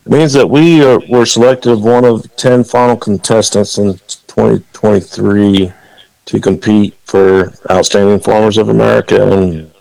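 A man's voice: fairly low pitch, vocal fry, dark tone, no echo, poor audio. A man from the Dominican Republic, a farmer guest on a radio interview, talking earnestly with frequent fillers.

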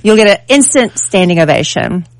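A woman on a radio talk show fires off wry, teasing comebacks. Her pitch sits in the middle. The recording is dry and close. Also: lively intonation, moderate pace, no room sound. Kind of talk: casual morning-show banter.

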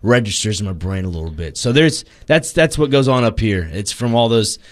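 A man's voice: lowish voice, expressive, brisk. A man chatting casually as a radio co-host in a rambling, plainspoken way. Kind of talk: casual chat.

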